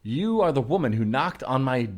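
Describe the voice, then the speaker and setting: A man hosting a comedy podcast, casually introducing and reading aloud with a husky voice. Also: medium pitch, very animated, moderate pace.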